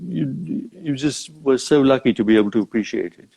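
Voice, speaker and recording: An older man from Uganda talks as a guest in a live video chat. He speaks in a reflective, measured way, often pausing and rephrasing. His pitch sits in an average male range. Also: expressive, vocal fry, mellow, breathy edge, rough recording. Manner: halting, thoughtful, measured phrasing. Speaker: interview guest, safari company founder.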